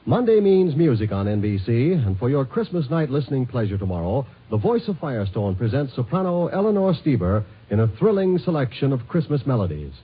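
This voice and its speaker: Male American announcer, rough-edged voice, lively intonation, 1950s radio promo, muffled recording. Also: medium pitch, fairly quick, hardly any pauses. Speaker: network announcer.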